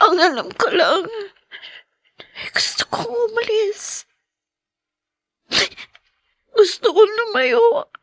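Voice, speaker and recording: A heartbroken young woman in a radio drama, cries out in bitter despair. Her voice is very high and bright. She speaks slowly, close and dry. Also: animated, dry recording, heavily acted. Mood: sobbing despair. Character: distraught young woman betrayed by family.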